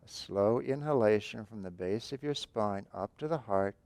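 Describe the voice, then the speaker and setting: Slow, gravelly-voiced male meditation guide. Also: on the low side, dry recording. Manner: slow, calm, softly spoken instructions with long pauses. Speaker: meditation teacher.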